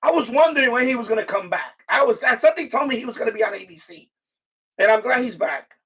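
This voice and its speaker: High, creaky, husky-voiced man live streaming. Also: animated, a bit bright, distant mic. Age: middle-aged. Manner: halting, rambling, self-correcting. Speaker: live streamer, radio hobbyist. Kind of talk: casual live stream chat.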